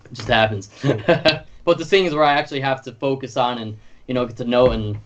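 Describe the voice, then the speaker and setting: A man's voice: medium pitch, brisk, a little hiss or hum. Casual man with a slightly dull recording. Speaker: band drummer and singer being interviewed. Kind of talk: casual interview chat.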